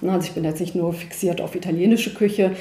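A woman with a mellow, warm voice talks easily as a podcast guest, dropping little "ne?" tags and fillers as she goes. Her voice carries the echo of a roomy space. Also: on the low side, fairly even intonation, fast. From Germany, of Sicilian parents. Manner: chatty, frequent "ne?" tags and fillers. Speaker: nutrition scientist guest. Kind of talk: casual podcast interview.

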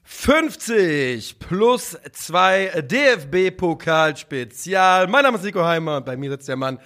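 Guy hosting a football podcast, kinda high voice, chatty, laughs a lot. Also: animated, a bit bright, faint music behind. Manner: chatty, laughing, quick asides. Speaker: podcast co-host. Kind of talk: casual football podcast chat.

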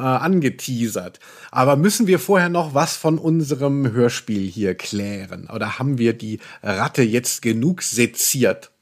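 A man of medium pitch chats casually as a podcast host. He talks in long, playful, wordy sentences and often breaks off to start a thought again. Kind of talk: casual chat about radio plays.

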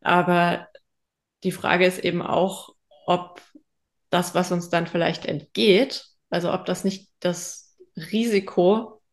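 A woman's voice: fairly low pitch, flat delivery, slow pace. A woman co-hosting a podcast, chatting casually and reflectively, thinking aloud as she goes. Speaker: podcast co-host. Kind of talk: casual conversational podcast chat.

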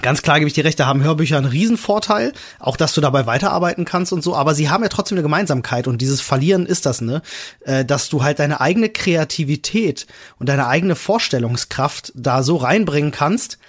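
A man's voice: fairly high pitch, expressive, a little fast. A man co-hosting a casual podcast, talking in a wordy way with many digressions and hesitations. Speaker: podcast co-host.

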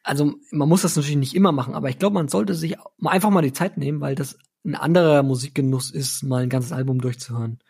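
A man talks casually about music as a podcast co-host. His voice is on the higher side and rather husky. He is measured and conciliatory. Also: darkish tone.

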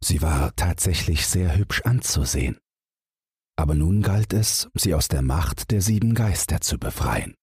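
Deep, very husky male storyteller. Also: dry recording, studio quality. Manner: measured, expressive storytelling. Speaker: audiobook narrator. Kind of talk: scripted bedtime fairy-tale narration.

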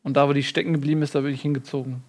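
A man playing a muddled, rambling fortune cookie writer in a deadpan comedy interview. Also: mid-range voice, rapid-fire, lightly acted. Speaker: mock interview guest. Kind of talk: improvised comedy sketch.

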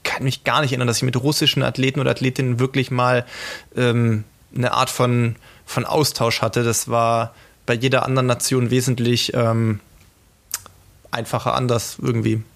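A man's voice: medium pitch, little pitch movement, normal speed, gravelly, fairly bright tone. A man co-hosting a podcast, speaking in a searching, hedging way with many fillers. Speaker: podcast co-host and runner. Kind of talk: casual chat.

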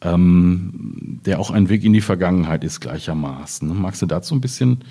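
Middle-aged male poet, very low husky voice, reflective and halting in literary discussion. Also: mellow. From Ruhr area, Germany. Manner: thoughtful, halting, searching for words while speaking. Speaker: poet discussing his work. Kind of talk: reflective literary panel talk.

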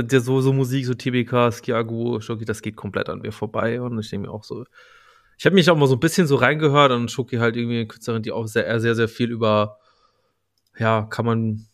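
A man co-hosting a podcast, chatting casually about music and hedging as he circles toward his point. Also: mid-range voice. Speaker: podcast co-host. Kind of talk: casual music review chat.

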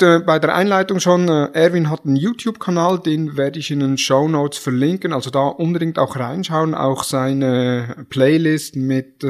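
A Swiss man with a Swiss German accent hosting a podcast, speaking in a friendly way with frequent fillers. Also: on the high side. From Switzerland. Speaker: podcast host. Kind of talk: podcast host wrap-up.

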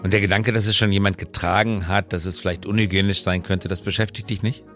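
A man's voice: deep voice, fairly even intonation, fast talker, fairly bright tone, faint music behind. A man hosting a podcast in a conversational style, with a wry, playful manner. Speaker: podcast host.

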